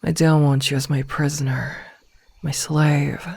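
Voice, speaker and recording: A woman playing a possessive, menacingly loving female werewolf in an ASMR roleplay, speaking softly and coldly, often slipping into a whisper. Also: sits low, flat delivery, gravelly, breathy edge, no echo, full character voice. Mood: possessive, resolute. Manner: cold, callous, deliberate word delivery. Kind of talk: ASMR roleplay, slow intimate delivery.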